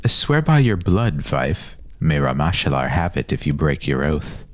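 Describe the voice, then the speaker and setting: A man's voice: rumbling low, sing-song, a little slow, no room sound, exaggerated voice. A man voicing a bossy, grandiose older boy in an audio fantasy story, speaking formally and insistently. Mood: insistent, solemn.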